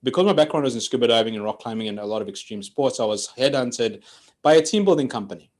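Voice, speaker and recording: A man's voice: medium pitch, expressive, fast. A man in his forties from Greece, a guest on a podcast, telling his story in a chatty way and laughing often. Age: 40s. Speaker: podcast guest, coach and trainer. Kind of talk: casual storytelling interview.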